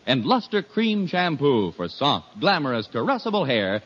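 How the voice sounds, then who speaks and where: A man's voice: mid-range voice, sing-song, normal speed. A man announcing in scripted 1950s radio style, with a polished sales delivery. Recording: dull, noisy vintage 1950s radio recording.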